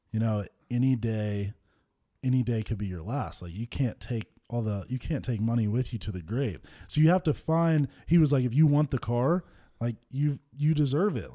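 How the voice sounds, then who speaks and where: Relaxed man with a dark voice. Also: mid-range voice. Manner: laid-back, storytelling, laughs easily. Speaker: podcast co-host, football player. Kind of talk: casual chat.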